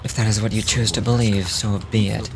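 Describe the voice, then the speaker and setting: An actor playing a calm, stoic ancient man of godlike dignity in a video game, formal and measured. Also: fairly low pitch, music in the background, natural voice. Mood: solemn, resolute. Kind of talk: dramatic video game voice acting.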